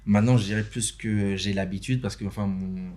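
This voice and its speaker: A man's voice: lowish voice, fairly even intonation, a little fast, a little mellow. A young man from Benin speaks as a podcast guest, talking casually and hesitantly, with many fillers and restarts. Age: young adult. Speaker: podcast guest, interview host and motion designer. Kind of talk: casual chat. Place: podcast studio.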